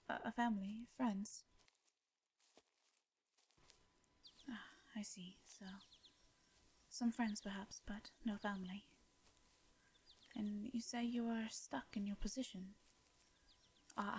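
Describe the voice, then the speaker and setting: A woman with an English accent playing a gentle, courteous vampire in an intimate fantasy audio drama, speaking softly and breathily in formal, hesitant phrases. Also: mid-range voice, fairly even intonation. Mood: gently curious. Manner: soft breathy delivery, formal old-fashioned diction, hesitant pauses. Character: gentle, courteous female vampire, centuries-worn, wistful yet devious.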